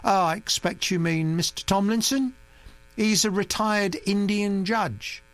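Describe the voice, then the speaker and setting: Calm detached young woman read by a narrator, offhand, raised pitch, slow, dry sound. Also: no room sound, lightly acted. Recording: dry recording with mains hum.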